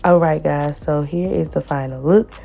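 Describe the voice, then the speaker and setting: A woman with a hoarse, raspy voice from the flu, chatting casually as she narrates a nail tutorial and repeats words for emphasis. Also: normal speed, faint music behind. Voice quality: raspy and deep. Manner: repeats words for emphasis, warm pleading asides. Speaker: nail tech vlogger.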